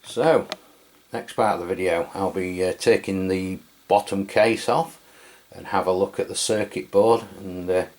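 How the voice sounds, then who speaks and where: Deep-voiced man reviewing a radio. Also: a little slow. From England. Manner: relaxed, hesitant with many fillers. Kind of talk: casual product review. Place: home radio room.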